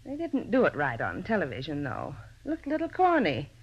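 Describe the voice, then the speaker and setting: A woman's voice: low-pitched, wildly swooping pitch, a little fast, husky, heavily acted. An actress playing a touchy, self-important American landlady in a 1950s radio drama, talkative and defensive. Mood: fond, chatty. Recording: muffled old radio recording.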